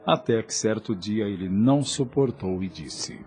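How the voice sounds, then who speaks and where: A man's voice: on the low side, animated, unhurried, darkish tone. Male narrator over faint music. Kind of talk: scripted story narration.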